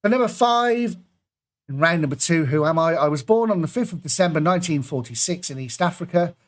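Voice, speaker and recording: A man's voice: a little high, expressive, fairly quick. Chatty man reading pub quiz clues. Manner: chatty asides between clues, jokey.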